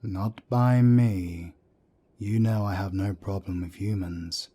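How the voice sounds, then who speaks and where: A man playing a calm, fatherly werewolf council elder who speaks softly and gently chides. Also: low-pitched, slow pace, breathy edge, full character voice. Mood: warm, curious. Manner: soft-spoken, gently chiding.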